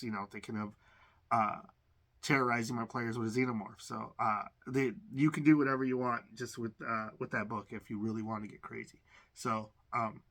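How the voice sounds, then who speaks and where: A man's voice: medium pitch, bright, forceful tone. A man casually talking through a game unboxing, rambling with lots of fillers and restarts. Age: adult. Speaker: collector unboxing games.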